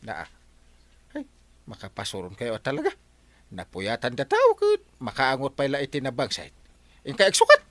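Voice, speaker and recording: An excitable, blustering village captain in a radio comedy. His voice leaps high and drops low all the time, and he sits at a middle pitch for a man. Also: moderate pace, long pauses, no echo. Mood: exasperated. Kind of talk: radio comedy drama acting.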